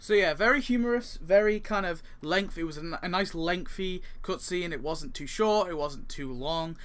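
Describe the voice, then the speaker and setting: A young man with a slightly high voice gives casual countdown commentary for a gaming video. He rambles along and doubles up words for emphasis. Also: moderate pace, a bit bright.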